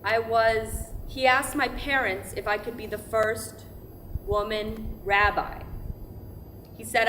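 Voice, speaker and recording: A devout woman played by an actress tells her memories on stage in a slow, halting, emphatic way. She repeats words as she goes, and there is steady noise over the recording of the live reading. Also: fairly high pitch. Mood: proud, wistful. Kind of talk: verbatim theatre monologue, staged reading.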